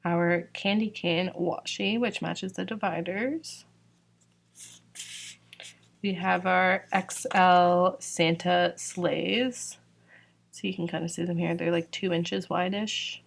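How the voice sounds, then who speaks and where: A young woman talks casually and unhurriedly, filling her sentences with "like" and "um." Her voice sits a little low and sounds a bit worn, as if she has been losing it lately. She is from Georgia. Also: fairly even intonation, slow pace, gravelly. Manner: chatty, fillers like "like" and "um". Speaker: sticker shop owner presenting new releases. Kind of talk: casual product walkthrough.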